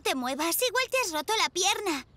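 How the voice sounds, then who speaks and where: Very high cartoon girl. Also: expressive, a little fast, pushed and bright, no echo, full character voice. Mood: urgent. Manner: urgent, caring. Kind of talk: cartoon dubbing.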